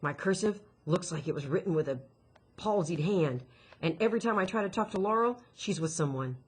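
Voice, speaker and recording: A woman's voice: lowish voice, expressive, brisk, slightly rough. A woman voicing an anxious, bookish girl who is guarded and self-critical in a storybook reading. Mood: anxious, brooding.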